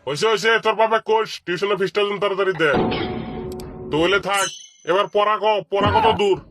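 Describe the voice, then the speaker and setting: A man's voice: sits high, steady tone, fairly quick, over music, lightly acted. A man playing a strict, threatening father and tutor in a comedy cartoon, scolding. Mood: stern, exasperated. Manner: scolding, hectoring.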